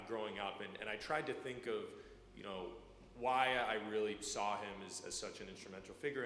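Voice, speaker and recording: Halting low-voiced man in echoey church. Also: a bit bright. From Ukraine. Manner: halting, many fillers and repeated words. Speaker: guest speaker. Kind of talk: personal testimony to a church congregation. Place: church sanctuary. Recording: echoey church hall, faint room noise.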